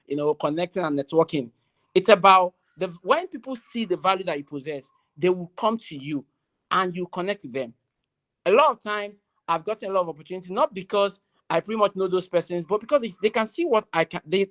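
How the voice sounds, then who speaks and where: Young Nigerian-accented speaker, loud and emphatic, mid-range pitch, drives points home by repeating them. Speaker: graduation ceremony speaker. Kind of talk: motivational speech.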